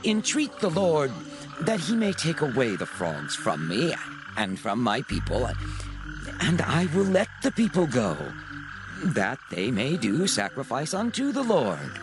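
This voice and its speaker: A proud, stubborn Egyptian king in a scripture audio drama, his pleas haughty even as he begs. His pitch swings very widely from low to high, with loud music playing beneath him. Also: measured pace, rough recording. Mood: pleading. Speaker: Pharaoh in a dramatized Bible reading.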